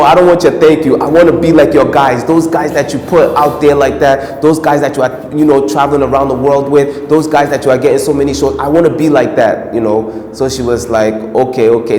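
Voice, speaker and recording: An American-accented man talks through his acting work in a loose, rambling way, full of fillers and asides. His voice sits in a mid pitch. The room is echoey with a long reverb tail and a faint hiss behind it. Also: darkish tone. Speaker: film actor. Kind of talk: casual interview answers.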